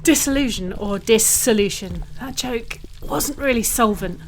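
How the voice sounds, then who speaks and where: Higher-pitched, menacing woman acting a villainess. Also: a little slow, a little hiss or hum, low-quality recording. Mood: dry menace. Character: cool, menacing woman hunter serving evil tower. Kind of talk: comic horror audio drama.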